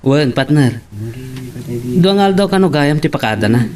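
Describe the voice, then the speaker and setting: A man's voice: a little high, animated, normal speed, crowd noise, lightly acted. A man playing a loyal, inquisitive male buddy in an Ilocano drama. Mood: teasing. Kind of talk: Ilocano radio-style drama acting. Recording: clear background noise.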